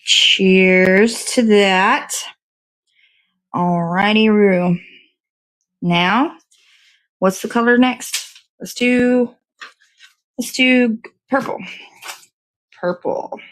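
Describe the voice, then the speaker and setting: A woman's voice: mid-range voice, extremely slow, long pauses, fairly bright tone, low-quality recording. A woman hosting a casual hands-on cake decorating demo, talking to viewers and half to herself in short fragments as she works. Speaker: show host.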